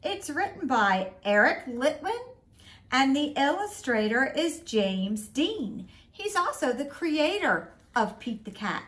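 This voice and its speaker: A middle-aged woman reads a children's picture book aloud. Her voice is high and bright, and it swings up and down a great deal. She is expressive and deliberate, shaping each phrase clearly for young listeners. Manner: expressive, slow and deliberate for children, with sing-song refrains. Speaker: school principal, guest story reader. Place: small home room, indoors.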